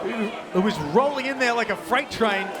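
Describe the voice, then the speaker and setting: High-pitched wrestling commentator man over roaring crowd. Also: moderate pace. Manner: excitable, bantering with co-commentator. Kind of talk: live sports commentary. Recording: loud crowd noise behind commentary. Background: noisy wrestling crowd.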